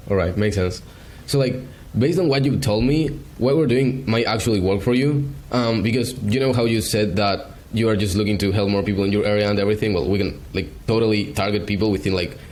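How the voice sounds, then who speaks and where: A man from Argentina pitching agency services on a video call, hesitant and full of fillers. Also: fairly quick, few pauses, a little mellow. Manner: hesitant, filler-heavy, casual. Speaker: beginner agency salesman.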